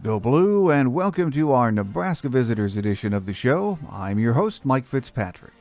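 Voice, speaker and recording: A man's voice: mid-range voice, very animated, normal speed, darkish tone, faint music behind. A man with an American accent hosting a sports podcast in scripted narration. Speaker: podcast host.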